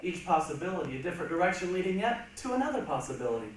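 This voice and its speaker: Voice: mid-range voice, sing-song, rapid-fire. A stage reader reciting literary texts with emphatic, dramatic delivery in a reader's theatre performance. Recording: echoey hall from far off, faint noise.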